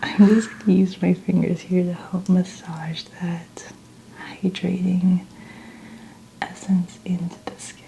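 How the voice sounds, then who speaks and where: Soft breathy asmr lady half whispering thru a spa treatment, bit of room noise behind her. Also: lowish voice, little pitch movement, poor audio. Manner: slow, gentle narration of each step. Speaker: ASMR host playing a skincare practitioner. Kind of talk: soft-spoken ASMR roleplay. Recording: noticeable ambient background noise.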